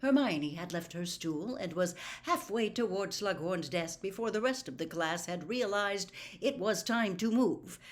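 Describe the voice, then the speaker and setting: Medium-pitched woman narrating a story. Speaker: audiobook reader. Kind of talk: expressive scripted story narration.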